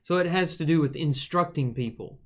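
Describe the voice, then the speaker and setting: Reedy-voiced man teaching scripture. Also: on the high side. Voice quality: thin, reedy voice. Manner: thoughtful, explanatory, pauses with fillers. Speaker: podcast Bible teacher. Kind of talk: casual teaching conversation.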